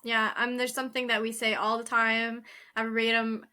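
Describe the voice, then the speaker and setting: A woman's voice: on the high side, flat delivery, normal speed, sharp tone, clear, resonant tone. A woman hosting a casual interview, talking in a reflective, chatty way with plenty of "um" and "like" fillers. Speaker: interview host. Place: lives in Quebec.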